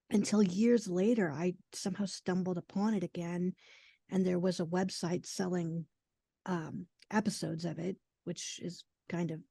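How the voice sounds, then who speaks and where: A woman in her forties, a podcast guest telling personal stories in a casual, animated way. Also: fairly low pitch, dry recording, studio quality. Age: 40s. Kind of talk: casual chat, personal storytelling.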